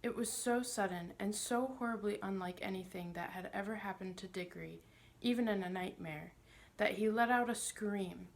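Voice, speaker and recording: Woman reading a kids book out loud, mid pitch, bit of vocal fry. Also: moderate pace. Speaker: storybook reader. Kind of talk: read-aloud children's story narration.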